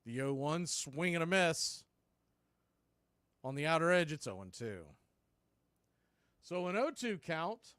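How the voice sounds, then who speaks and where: A man calling a baseball game pitch by pitch as a fan radio host, mixing play calls with opinionated chat. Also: sing-song, slow, long pauses, fairly bright tone, clean tone, no echo, studio quality. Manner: conversational, opinionated fan commentary.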